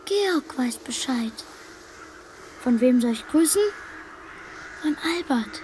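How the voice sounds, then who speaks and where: A woman playing a solemn young child narrator in a radio drama, hushed and matter-of-fact. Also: high-pitched, expressive, slow, a little hiss or hum, low-quality recording. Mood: hushed, earnest.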